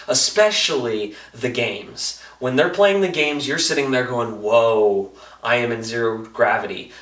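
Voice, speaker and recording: A roomy, echoing recording of a man with a slightly high voice, a little way from the microphone. He reviews films casually and enthusiastically, in a colloquial, animated way, slipping into acted-out reactions as he goes. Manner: animated, colloquial, acts out reactions in quoted asides. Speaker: film reviewer. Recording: roomy echo, distant microphone.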